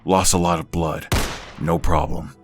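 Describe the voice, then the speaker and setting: A man playing a hard-bitten, vengeful vigilante in a comic book dub, speaking in clipped, grim phrases with a low, raspy voice. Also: level tone, unhurried, music in the background. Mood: grim focus. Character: hard-bitten, vengeful male gun-toting vigilante, grimly determined. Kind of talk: comic book dub acting with inner monologue.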